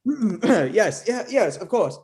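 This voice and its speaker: A man's voice: high voice, sing-song, slow, darkish tone, slight background noise, heavily acted. A man playing a timid, awkward physician in a period drama reading, hesitant and stammering. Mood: nervous. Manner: hesitant, stammering, throat-clearing. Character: Doctor Polidori, timid, awkward male physician.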